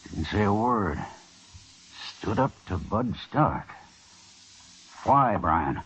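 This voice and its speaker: A man's voice: on the low side, very animated, drawn-out words, fairly bright tone, husky, full character voice. An actor playing a proud, anguished middle-aged colonel and father in an old radio western, formal and dramatic. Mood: shaken, questioning. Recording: dull, noisy old radio broadcast recording.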